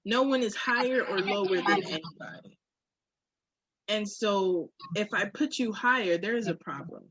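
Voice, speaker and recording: Slightly husky woman chatting candidly. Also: medium pitch, moderate pace, fairly bright tone, no echo. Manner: frank, uses profanity, reflective. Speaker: podcast co-host. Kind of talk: candid casual conversation. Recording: dull, muffled lo-fi audio.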